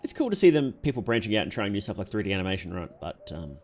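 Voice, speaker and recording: Animated low-voiced man reacting on a livestream. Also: quick pace, slight background noise. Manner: slangy, jokey, blunt. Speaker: livestream host. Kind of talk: casual live reaction commentary.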